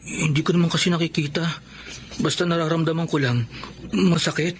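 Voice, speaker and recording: A man's voice: a little high, fairly even intonation, a little fast, slight background noise, lightly acted. A man acting a tender, cheerful blind eighteen-year-old son in a Filipino radio drama. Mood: pained, resigned.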